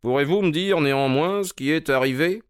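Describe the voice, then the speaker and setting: A man voicing a keen, sharp-witted middle-aged detective in an audiobook, asking crisp, probing questions. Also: a little high, a little fast, no room sound, studio quality, voice pushed up, close to an everyday voice. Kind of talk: audiobook character reading.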